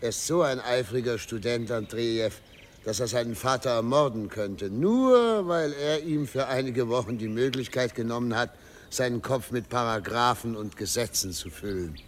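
Authoritarian governor and patriarch, male actor, middle-aged, mid-pitched, declamatory and condescending, radio drama. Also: slight background noise, natural voice. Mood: wry, grave. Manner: measured, declamatory, pompous. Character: authoritarian middle-aged governor and father, self-assured, patronizing. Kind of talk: German radio drama acting.

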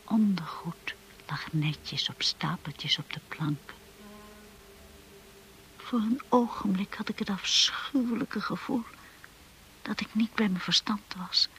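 An actress playing an anxious, timid young wife in a radio drama, earnest and breathy. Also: female voice, lowish voice, lively intonation, takes it slowly, long pauses, fairly bright tone, low-quality recording. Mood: haunted, hushed. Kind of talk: radio drama acting with narration. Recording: hissy old radio play recording.

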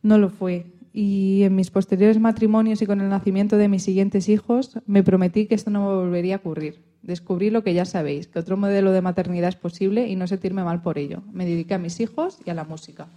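Woman playing proud woman composer, resilient, reflective, mellow tone, earnest radio interview storytelling. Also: medium pitch, steady tone, fairly quick, hardly any pauses, lo-fi sound, close to an everyday voice. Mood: regretful. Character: Teresa Carreño, historical pianist and composer, resilient, proud, reflective. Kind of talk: scripted mock radio interview.

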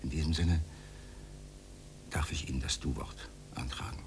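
A man's voice: very deep voice, steady tone, normal speed, noisy background. An actor in a radio drama playing an earnest, awkward, idealistic older man who speaks in a stilted, formal, lecturing way. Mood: solemn, courting. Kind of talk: 1980s German radio drama acting.

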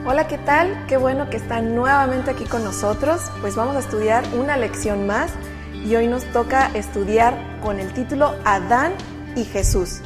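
A woman's voice: on the high side, moderate pace, big-room sound, over music. Woman hosting a Bible study. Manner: friendly, leads discussion with fillers. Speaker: host of a Bible study program. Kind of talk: casual religious study chat.

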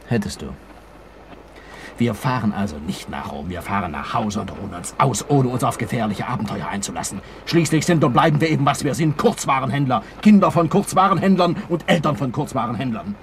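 An actor playing a resentful shopkeeper husband, sardonic and exasperated, in a German radio drama. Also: male voice, medium pitch, lively intonation, moderate pace, lightly acted. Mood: bitter, resigned. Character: resentful, nagging married shopkeeper travelling by train. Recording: faint vehicle noise behind.

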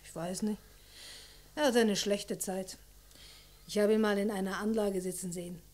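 A woman's voice: medium pitch, fairly even intonation, moderate pace, a little mellow, natural voice. An actress playing a friendly, plain-spoken female witness who talks openly in an old German crime radio play. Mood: wistful. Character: friendly, forthcoming female witness, fond of an older man.

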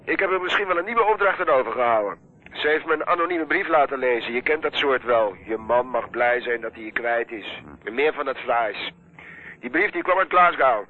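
An actor playing a friendly, chatty informant in a Dutch radio drama, on a phone-like old recording. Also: male voice, mid-range voice, lively intonation, a little fast, lightly acted. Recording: phone-like, band-limited old radio play.

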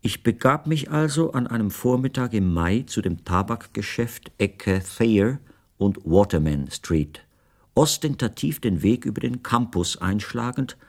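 Deep, gravelly, brooding writer. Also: male voice, darkish tone, dry recording, studio quality, full character voice. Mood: wry, deliberate. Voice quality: gravelly, husky, often dropping to breathy near-whisper. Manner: measured, deliberate, ornate phrasing. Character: brooding, self-assured bearded male writer, a confined houseguest recounting his life. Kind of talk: literary first-person radio drama narration.

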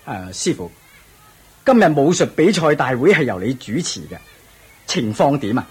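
A man's voice: medium pitch, sing-song, moderate pace, darkish tone, breathy edge. A man with a Hong Kong Cantonese accent playing a proud, doting ancient king in a radio drama, speaking in a theatrical, regal way. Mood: questioning, uneasy. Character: proud, doting ancient Indian king, anxious about his heir.

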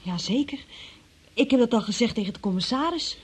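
An actress playing a timid, anxious nineteen-year-old shopgirl in a radio play, hesitant and polite, with a soft breathy voice. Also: a little high, expressive, a little fast. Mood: defensive.

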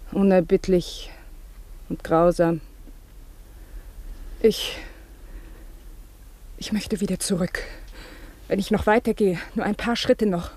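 An actress playing an anxious, devoted former governess in a German radio drama, speaking in a deferential, formal and hesitant way. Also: female voice, medium pitch, warm tone, close to an everyday voice.

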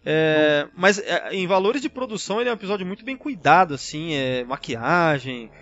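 A man's voice: on the high side, animated, moderate pace, muffled recording. A man with a Brazilian accent in a casual fan discussion, explaining things in an enthusiastic, rambling way as a podcast panelist.

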